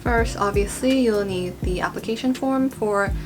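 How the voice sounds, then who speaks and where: A woman's voice: medium pitch, normal speed. A young woman talking casually and informally as a vlogger, in a chatty, rambling explainer style. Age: young adult. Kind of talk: casual informational vlog talk. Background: background guitar music.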